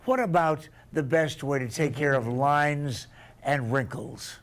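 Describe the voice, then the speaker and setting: A man hosting a TV talk show, asking guests questions in a friendly, brisk way. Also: fairly high pitch. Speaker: TV show host.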